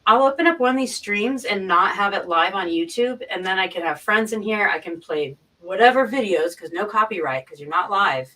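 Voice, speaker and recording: A woman chatting casually as a live-stream guest, explaining things in a halting way with repeated and restarted phrases. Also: mid-range voice, lively intonation, sharp tone, far from the mic, rough recording. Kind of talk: casual chat, explaining and recounting.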